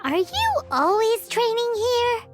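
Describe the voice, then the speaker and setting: A woman voicing a tiny, chirpy and blunt childlike female companion in a video game, with a squeaky, chattering, teasing manner. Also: wildly swooping pitch, takes it slowly, exaggerated voice. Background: game music.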